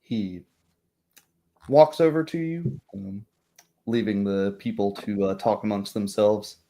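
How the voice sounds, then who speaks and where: Halting male game master narrating. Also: on the low side, warm tone. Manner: halting, thinking aloud. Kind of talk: improvised narration of a tabletop roleplaying game.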